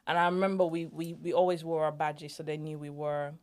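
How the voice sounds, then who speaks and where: A woman's voice: sits low, little pitch movement, brisk, darkish tone. A young adult woman, a podcast co-host, telling a reflective story in casual chat and acting out the voices she remembers.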